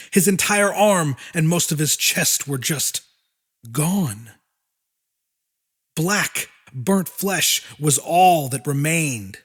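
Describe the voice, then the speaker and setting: A man narrating a horror audiobook in a dramatic, deliberate style with weighty pauses. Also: high voice.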